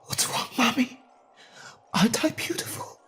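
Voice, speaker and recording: An actor playing a deranged, menacing boy killer with a halting, childlike delivery in a horror audio drama. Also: a bit bright, soft background music, close to an everyday voice. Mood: eerie pleading.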